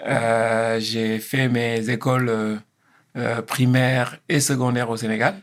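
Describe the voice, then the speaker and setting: A man's voice: mid-range voice, little pitch movement, takes it slowly. A man in his fifties from Senegal, answering interview questions in a hesitant way, with frequent fillers and restarts. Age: 50s. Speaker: guest.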